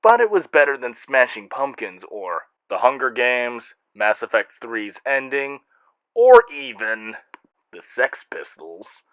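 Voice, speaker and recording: A man's voice: mid-range voice, huge pitch swings, slow pace, a bit bright, clear, resonant tone, no room sound, poor audio. A man hosting and narrating in a scripted comic style, reading fan mail with a wry, theatrical manner.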